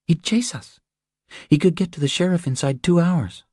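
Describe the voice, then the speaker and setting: A man voicing a weary, determined but frightened young mother with an earnest, hushed delivery, in an audiobook. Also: a little high, normal speed, no echo, clean studio sound, voice pushed up, close to an everyday voice. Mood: anxious.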